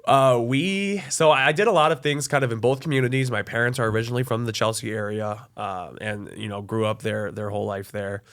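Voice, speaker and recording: A young man from Michigan, a college access adviser, chatting as a guest in an interview, relaxed and friendly, with many fillers and restarts. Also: mid-range voice, brisk, few pauses. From Michigan, USA. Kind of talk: casual interview conversation.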